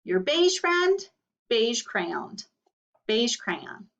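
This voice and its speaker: A woman's voice: fairly high pitch, sing-song, drawn-out words, gravelly, a bit bright, full character voice. A woman voicing a proud beige crayon from a storybook that feels slighted, speaking in an indignant, emphatic way. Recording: dull, distant microphone.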